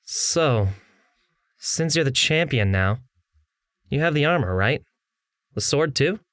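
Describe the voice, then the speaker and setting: Man as bright, excitable young gamer swordsman. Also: medium pitch, slow, dry recording, studio quality. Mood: curious, eager. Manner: casual, excitable. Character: eager, playful young male gamer swordsman.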